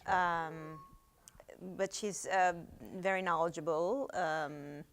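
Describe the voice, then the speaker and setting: A woman's voice: on the low side, fairly even intonation, takes it slowly. A woman chatting casually as a guest, hesitant and searching for words. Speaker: guest, actress and musician. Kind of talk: casual chat. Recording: faint background noise.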